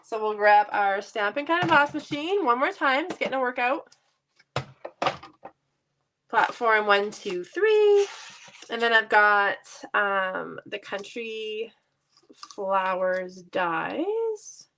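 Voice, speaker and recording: A woman's voice: medium pitch, very animated, slow, fairly bright tone, slight background noise. A woman with a stuffy cold voice, chatting casually and laughing lightly as she demonstrates card making in her basement craft room. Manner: chatty, laughs often, self-deprecating.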